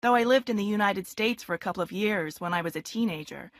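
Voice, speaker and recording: A woman's voice: medium pitch, fast talker, dry recording, lightly acted. An actress in her twenties playing a friendly young woman applying to a club in a scripted exam dialogue, chatty and polite. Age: 20s. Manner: chatty and polite, clear careful spelling. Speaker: club applicant in a listening test recording. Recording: dry studio sound.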